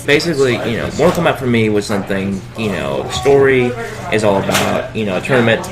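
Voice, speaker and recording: A man with a fairly low voice talks casually, circling back and restarting as he thinks aloud. Music plays clearly behind him throughout. The delivery is loose and unscripted. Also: lively intonation, fast, poor audio. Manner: rambling, many fillers and restarts. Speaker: podcast co-host. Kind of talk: casual chat.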